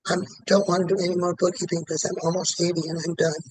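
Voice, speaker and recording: A man in his late seventies, a client in a tapping session, who repeats one statement over and over in an even rhythm. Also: high-pitched, fast, no echo, low-quality recording. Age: 70s.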